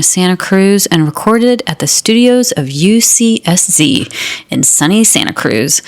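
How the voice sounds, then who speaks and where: An actress playing an earnest, erudite music radio host, speaking in a measured scripted style. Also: female voice, medium pitch, darkish tone, lightly acted. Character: music-loving woman hosting a minimalist music radio show. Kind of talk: scripted radio presenting, radio drama.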